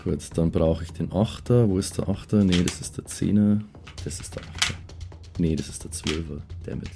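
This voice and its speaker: A man's voice: low-pitched, moderate pace, creaky, music in the background. A man chatting casually as a player in a tabletop role-playing game.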